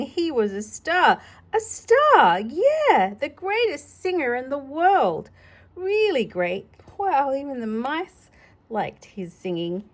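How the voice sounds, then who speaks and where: A woman reading a picture book aloud to a child, playfully exclaiming and chatting about the pictures. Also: fairly high pitch. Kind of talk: animated read-aloud with chatty asides.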